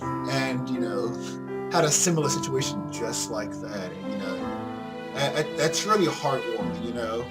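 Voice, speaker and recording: A man's voice: mid-range voice, animated, normal speed. A man hosting a let's play, reading game narration aloud and commenting casually in an earnest, sentimental way. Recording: loud game music behind voice. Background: game music.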